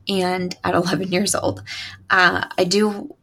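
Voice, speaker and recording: A young woman hosting her own podcast, chatty and upbeat, with a raspy early-morning voice and lots of "uh" fillers. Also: on the low side, flat delivery, measured pace, pushed and bright, a little hiss or hum. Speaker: podcast host. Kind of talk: casual solo podcast talk.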